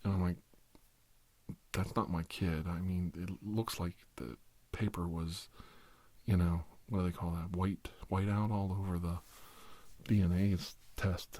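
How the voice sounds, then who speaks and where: A man with a deep, husky voice rambles through a comic ASMR monologue. He plays an exasperated doctor, talking conversationally and circling back to repeat himself. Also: warm tone, no echo. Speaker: performer playing a doctor in character.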